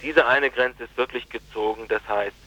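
A man giving unscripted legal commentary in an interview, formally worded and halting, with many filled pauses. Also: medium pitch, fairly even intonation, sharp tone, rough recording. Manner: halting, hesitant phrasing with frequent filled pauses. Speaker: interviewee from a radio station.

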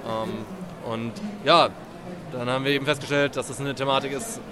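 A man's voice: mid-range voice, steady tone, moderate pace, over music. A man, an activist being interviewed outdoors at a street festival, talking casually with many fillers and restarts. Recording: loud crowd around.

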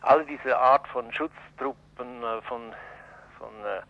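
A man giving spontaneous interview commentary, halting and searching for words, on a dull lo-fi recording. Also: medium pitch, steady tone, dry recording. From Switzerland. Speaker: interviewee, journalist.

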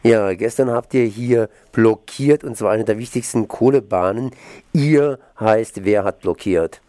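A man's voice: fairly low pitch, unhurried, warm tone, dry recording. A man hosting an informal radio interview, putting questions to his guest.

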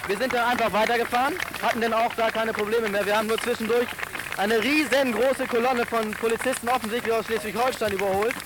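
A man's voice: high voice, heavy background noise. A man casually recounting a trip as an eyewitness, loosely and hesitantly.

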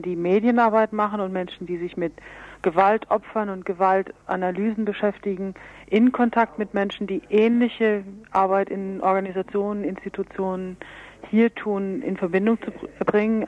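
A dull, band-limited radio recording carries a woman's medium-pitched voice. She is an interview guest from an aid organisation, giving explanatory answers. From Berlin, Germany.

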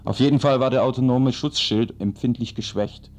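A man's voice: medium pitch, moderate pace, a little mellow. A man narrating a radio parody as earnest, pseudo-dramatic storytelling.

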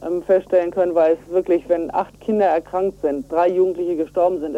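A woman speaks over a telephone line in a radio interview. Her voice is mellow and relaxed in tone, while her manner is earnest and emphatic. She explains her case step by step, like a campaigner used to setting out the facts. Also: on the low side. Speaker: environmental activist and association chair being interviewed. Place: on a telephone call to a radio show.

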